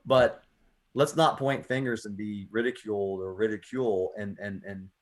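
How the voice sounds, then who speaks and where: A man's voice: fairly low pitch, fairly even intonation, normal speed. An American man hosting a poetry radio show, talking casually and earnestly, often repeating words as he thinks aloud. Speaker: poet and radio show host. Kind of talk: casual reflective conversation.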